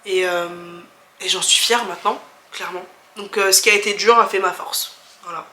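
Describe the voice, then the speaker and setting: A woman's voice: mid-range voice, fairly even intonation, normal speed, roomy sound, noisy background. A young woman from France in her twenties, answering viewer questions in a candid, chatty way with many fillers, restarts and easy laughs. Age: 20s. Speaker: travel vlogger.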